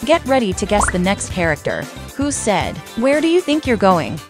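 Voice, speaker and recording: A woman's voice: mid-range voice, big pitch swings, normal speed. A woman reading scripted quiz narration as the host. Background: music.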